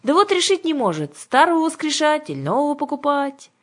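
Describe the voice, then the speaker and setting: Женщина играет властную и хитрую ведьму-гадалку из книги, говорит грубовато, театрально и таинственно. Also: female voice, high voice, sing-song, a little fast, voice pushed up. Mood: brisk, scornful. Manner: brusque, mysterious, colloquial. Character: bossy, cunning witch fortune-teller woman, gruff and theatrical.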